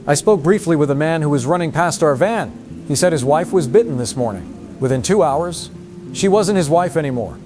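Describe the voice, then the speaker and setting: A man playing a shaken field reporter giving a halting, alarmed live report. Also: on the high side, lively intonation, over music, close to an everyday voice. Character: shaken male field reporter witnessing horror. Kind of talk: dramatized live news report.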